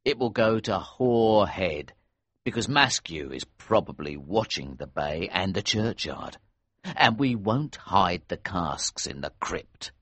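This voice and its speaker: Guy doing gruff older smuggler innkeeper for an audiobook, really low and husky, slow careful talk, fatherly. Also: very animated, fairly bright tone, no room sound, exaggerated voice. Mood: grave, secretive. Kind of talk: audiobook character reading.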